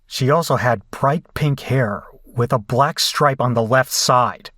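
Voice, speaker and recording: A man's voice: mid-range voice, slow pace, a bit bright, no room sound, studio quality. A man playing a brash, rattled young rocker in a horror audio drama, colloquial, incredulous and foul-mouthed. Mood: shaken narration. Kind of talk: horror audio drama acting and first-person narration.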